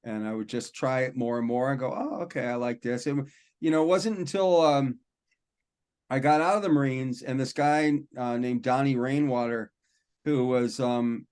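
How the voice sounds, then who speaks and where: A man, a rock and metal singer, telling stories from his life in a relaxed, rambling, self-deprecating way during a podcast interview. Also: medium pitch, normal speed, clean tone. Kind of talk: casual interview storytelling.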